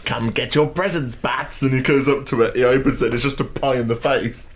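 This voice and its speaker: A man's voice: mid-range voice, fairly even intonation, brisk, a crowd in the background. A man co-hosting a casual podcast, laid-back and giggly, often trailing off mid-sentence. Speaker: podcast co-host.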